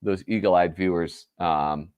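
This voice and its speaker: Low-voiced nasal man, rambling. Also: takes it slowly, a little mellow, clear, resonant tone, dry recording. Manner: rambling, self-correcting, full of fillers. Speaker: host. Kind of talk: casual livestream chat.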